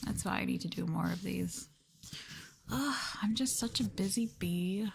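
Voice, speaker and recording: A woman doing a live ASMR stream, talking softly and breathily to viewers in a gentle, subdued way, often dropping into a whisper close to the mic. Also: mid-range voice, a little slow, gravelly, slight background noise. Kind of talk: ASMR soft talking, casual chat with viewers. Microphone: close ASMR microphone.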